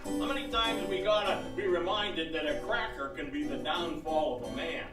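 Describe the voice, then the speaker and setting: A high-voiced, brash country pitchman, put on by a man, scolding in an old-time radio ad. Loud guitar plays behind him in a roomy stage recording. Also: normal speed, far from the mic, natural voice. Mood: scolding, boastful. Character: brash, folksy radio cracker pitchman insulting his listeners.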